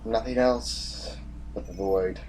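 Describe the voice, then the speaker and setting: An actor playing a weary, commanding general in an audio drama, with an authoritative, brooding way of speaking. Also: male voice, fairly low pitch, steady tone, takes it slowly, darkish tone, breathy edge, rough recording. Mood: somber. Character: weary, commanding male general facing a losing war.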